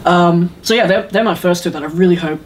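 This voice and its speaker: A man's voice: high voice, brisk, slight background noise. A man chatting casually as a podcast co-host, rambling with enthusiasm and some hesitation. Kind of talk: casual chat about games and films.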